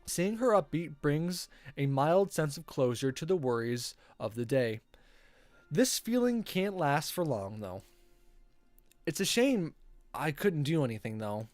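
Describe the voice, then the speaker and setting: Game streamer, very animated intonation, theatrical read-aloud, playful asides, stumbles over words, music underneath. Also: mid-range voice, unhurried, no echo. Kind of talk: reading visual novel aloud with casual reactions.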